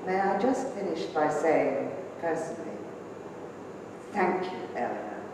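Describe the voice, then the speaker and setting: A woman's voice: sits low, very animated, unhurried. A middle-aged woman, reading a prepared tribute from a church lectern. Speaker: speaker at a memorial service. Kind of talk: prepared tribute speech read aloud. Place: large church, indoors. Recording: echoey church, distant mic, noisy.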